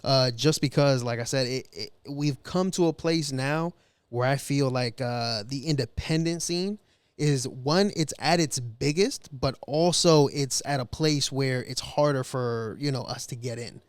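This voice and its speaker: A male podcast host chatting casually, leaning on fillers and warm praise for his guest. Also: medium pitch, unhurried, clean tone, no echo. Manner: laid-back, repeats fillers like "you know what I mean". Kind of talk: casual interview chat.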